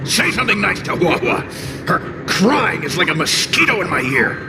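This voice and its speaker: A man playing a cocky, hot-tempered, gluttonous fire spirit in a video game, gruff and blustering. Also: high-pitched, very animated, normal speed, heavy background noise. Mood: grumpy, irritated. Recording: music behind the voice.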